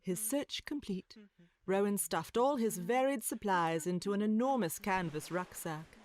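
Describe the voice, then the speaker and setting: A woman narrating a children's story from a script, with expressive, literary phrasing. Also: mid-range voice, unhurried. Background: music.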